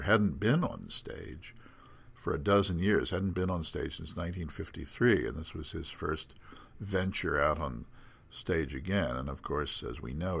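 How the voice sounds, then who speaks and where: An older man talking off the cuff about literary matters in erudite wording, halting often with ums and self-corrections. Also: a bass voice, gravelly, a bit bright, breathy edge. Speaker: podcast guest editor previewing magazine contents. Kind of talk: unscripted literary talk.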